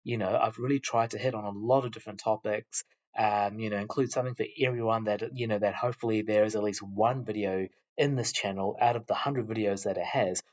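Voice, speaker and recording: A young New man with a fairly low voice, talking casually to camera. He rambles with plenty of fillers and restarts. The recording sounds dull and lo-fi. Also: fairly even intonation, fairly quick, darkish tone. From New Zealand. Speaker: YouTuber.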